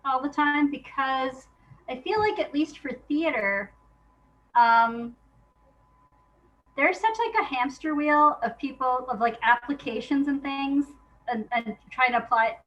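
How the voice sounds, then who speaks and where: Woman, interview guest, higher-pitched voice. Informal, reflective, halting delivery, thinking out loud. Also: animated, dull audio. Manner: informal, halting, thinks aloud with frequent hedging and laughter. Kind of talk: casual reflective conversation.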